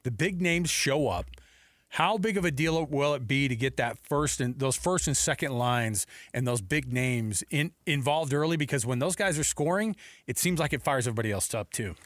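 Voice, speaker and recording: A man's voice: mid-range voice, expressive, normal speed, a bit bright, no echo, clean studio sound. A man hosting a sports radio show, chatting casually about the games.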